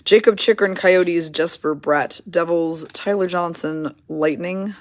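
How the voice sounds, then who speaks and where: A hoarse woman hosting a card break, casually rattling off player names and teams as she opens packs. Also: on the low side, measured pace, no echo, clean studio sound. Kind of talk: casual card-opening commentary.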